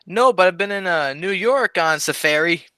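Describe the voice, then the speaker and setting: A woman's voice: on the low side, animated, normal speed, fairly bright tone, dry recording, studio quality. A woman playing a dim but cheeky male sidekick in an old-time radio comedy, delivering wisecracks with childlike innocence. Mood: smart-alecky.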